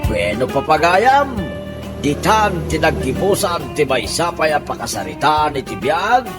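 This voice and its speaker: A man's voice: on the high side, very animated, a little slow, music in the background. A man giving scripted narration as the announcer of a radio drama.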